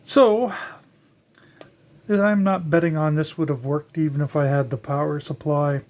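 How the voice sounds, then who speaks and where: An older electronics hobbyist giving a casual, unscripted teardown narration, halting and musing aloud while working with their hands. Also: mid-range voice, fairly even intonation, darkish tone. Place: indoors at a workbench.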